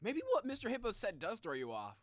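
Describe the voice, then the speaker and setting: A streamer giving excitable, rambling, slangy reaction commentary in a casual style. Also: mid-range voice, fast. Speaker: YouTube reactor commenting on game lore.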